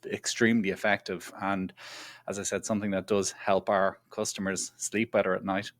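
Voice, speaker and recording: Low flat-voiced man, relaxed interview guest. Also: normal speed, no room sound. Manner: chatty, self-deprecating, repeats phrases. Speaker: guest, product executive. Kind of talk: conversational interview answers.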